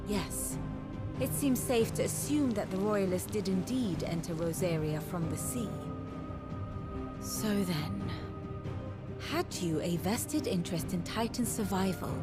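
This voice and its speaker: Casting: female actor for shrewd fantasy tactician, scholarly and measured, medium register, eloquent delivery. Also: mid-range voice, close to an everyday voice. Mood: probing, rhetorical. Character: erudite, composed female war strategist, shrewd and precise. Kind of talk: theatrical fantasy game dialogue.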